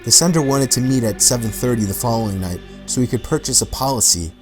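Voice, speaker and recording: Man, medium pitch, scripted documentary narration, background music. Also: fast. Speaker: video narrator. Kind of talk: scripted documentary-style narration of true mysteries.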